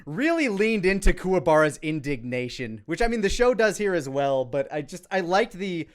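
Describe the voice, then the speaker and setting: A man talks through his own work in casual commentary. His voice is a bit high and very animated, rambling along with loose, sweary phrasing. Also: normal speed. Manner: rambling, profane, quotes lines aloud. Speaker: creator giving commentary.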